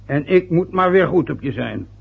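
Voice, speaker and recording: A resentful, brooding old father played by a man in a vintage radio drama. His voice is gravelly and husky. The old recording sounds muffled. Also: fairly high pitch, animated, moderate pace, exaggerated voice. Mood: bitter. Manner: bitter, brooding, short of breath later. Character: aging working-class father, bitter and ill.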